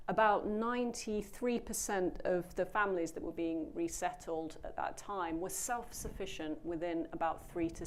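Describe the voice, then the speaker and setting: Low-voiced woman moderating a panel. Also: darkish tone. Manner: earnest, emphatic, with frequent fillers. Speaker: panel moderator, program founder. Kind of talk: panel introduction to a live audience. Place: event room with an audience.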